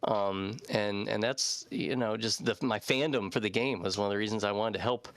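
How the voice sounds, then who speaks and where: A man's voice: on the low side, normal speed, fairly bright tone, no room sound. Enthusiastic thirties man, dry close sound. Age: 30s. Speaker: guest, fan translation team member. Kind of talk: casual panel chat.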